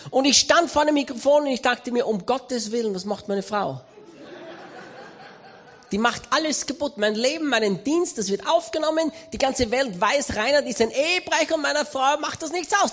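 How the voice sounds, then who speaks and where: A man with an Austrian accent preaching a sermon, telling a story with humor and lively emphasis. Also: very high-pitched. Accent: Austrian German. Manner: animated, humorous anecdotal delivery.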